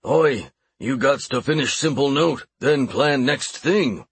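A man voicing a blunt, gruff older orc shaman in an audiobook, speaking in clipped, broken grammar. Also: medium pitch, expressive, slow, no echo, voice pushed up, heavily acted. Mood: sharp. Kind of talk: audiobook character voice.

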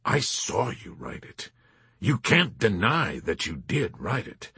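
A man voicing a mournful, reproachful army major who speaks slowly and quietly. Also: fairly low pitch, huge pitch swings, husky, dry recording, full character voice. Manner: quiet, slow, heavy lamentation.

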